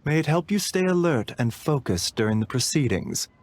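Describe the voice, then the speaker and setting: A soft-spoken, calm doctor. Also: male voice, medium pitch, moderate pace. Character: calm, gentle male physician, polite. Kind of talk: video game voice acting.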